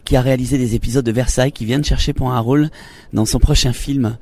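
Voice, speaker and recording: A man's voice: mid-range voice, steady tone, quick pace, gravelly, dark tone, slight background noise. A man hosting a radio show, presenting and interviewing guests.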